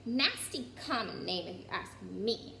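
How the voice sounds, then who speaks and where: A woman's voice: mid-range voice, expressive, unhurried, gravelly, pushed and bright, heavily acted. A woman voicing a curt, disapproving storybook wife, stiff and snappish, in an echoey room. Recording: roomy echo, some background noise.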